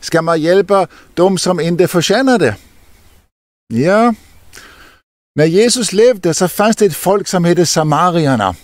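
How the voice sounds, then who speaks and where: An older man, a deacon, telling a Bible story to children outdoors in an expressive, lively way. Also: high voice, long pauses, dry recording, studio quality. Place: outdoors in an open field.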